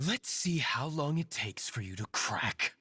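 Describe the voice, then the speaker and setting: A man's voice: on the high side, sing-song, a little slow, sharp tone, breathy edge, no room sound, faint music behind, heavily acted. An actor playing a stern, cold young vigilante nobleman in a video game, speaking in a curt, formal way. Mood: cold, threatening. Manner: curt, formal, menacing restraint.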